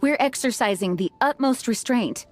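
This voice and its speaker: An actress playing an earnest, modest young knight commander in an anime game, speaking formally and politely. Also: female voice, a little high, expressive, moderate pace, darkish tone, natural voice. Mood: flustered. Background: background music.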